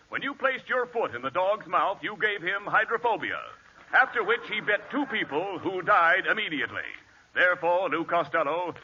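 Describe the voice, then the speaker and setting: Comic judge: stern, pompous, piercingly bright and high, old muffled radio recording. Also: male voice, heavily acted. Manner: pompous, formal, declamatory. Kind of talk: 1940s radio comedy acting. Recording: faintly noisy, band-limited 1940s radio recording.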